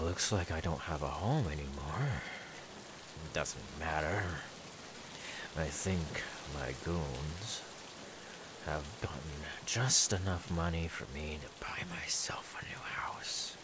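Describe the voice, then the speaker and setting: A man playing an unhinged, menacing, mocking serial killer in a theatrical ASMR horror audio roleplay, volatile between hushed and manic. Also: very deep voice, slow pace, noisy background. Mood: hushed, satisfied.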